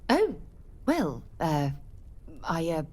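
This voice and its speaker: A woman playing an earnest, thoughtful leader of space explorers in a video game, measured and slightly reserved. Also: low voice, wildly swooping pitch, darkish tone, heavily acted. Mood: warmly awkward. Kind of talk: video game dialogue acting.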